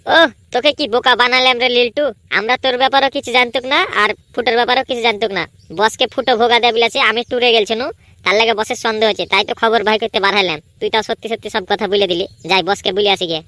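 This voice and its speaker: Cartoon schoolboy schemer acted by a woman, cocky, bright, dry studio sound. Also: fairly high pitch, steady tone, rapid-fire, no room sound. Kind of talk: comedy cartoon dubbing.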